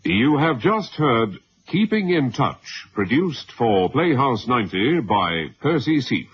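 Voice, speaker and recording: Vintage announcer: male, mid-pitched, animated intonation, formal scripted read, muffled archive radio sound. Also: takes it slowly. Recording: dull, faintly noisy old radio recording.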